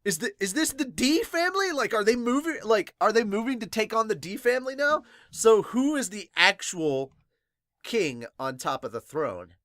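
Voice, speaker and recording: A man's voice: high-pitched, big pitch swings, moderate pace, fairly bright tone, dry recording. Dry-sounding man, manga podcast host. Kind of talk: dramatic manga read-aloud and excited reaction commentary.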